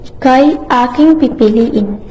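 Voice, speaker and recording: High, mellow woman narrator. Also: slow pace, dull audio. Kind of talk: scripted storybook narration for a puppet show.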